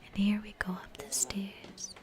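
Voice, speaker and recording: A woman with a New Zealand accent, whispering a gentle, soothing ASMR travel narration at an unhurried pace. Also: medium pitch.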